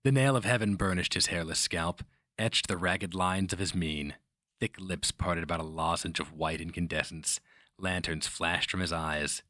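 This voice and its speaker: Casting: man with a low register for solemn, theatrical fantasy audiobook narration, recorded dry. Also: normal speed, bright, forceful tone, dry recording. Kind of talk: dramatic scripted fantasy narration.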